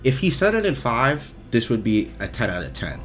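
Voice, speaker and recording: A man's voice: mid-range voice, big pitch swings, moderate pace, soft background music. A young man giving casual, rambling game commentary, hesitant and repetitive, with informal swearing. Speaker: video game reviewer.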